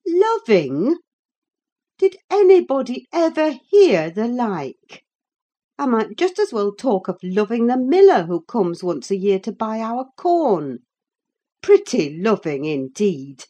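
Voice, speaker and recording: A woman's voice: fairly low pitch, animated, measured pace, a little mellow. A woman voicing a stern servant scolding her young mistress in an audiobook, grave and sarcastic. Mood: scornful. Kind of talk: audiobook character acting.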